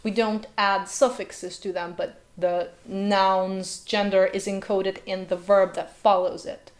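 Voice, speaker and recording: Woman, mid-pitched, sarcastic commentary host. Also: level tone, takes it slowly. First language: Slovenian. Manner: sarcastic, full of rhetorical questions. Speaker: linguist and video host. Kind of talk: commentary vlog.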